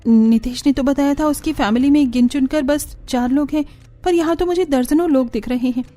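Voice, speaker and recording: A woman voicing a bubbly, fussy young college woman in a cartoon story, expressive and playfully whiny. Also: sits high, normal speed, close to an everyday voice. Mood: grumbling.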